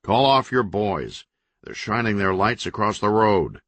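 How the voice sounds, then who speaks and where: A man's voice: lowish voice, sing-song, measured pace, fairly bright tone, no room sound, voice pushed up, exaggerated voice. A man voicing a hot-tempered governor who gives blunt, profane orders and explains things coldly. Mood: angry commanding. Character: hot-tempered male state governor, forceful.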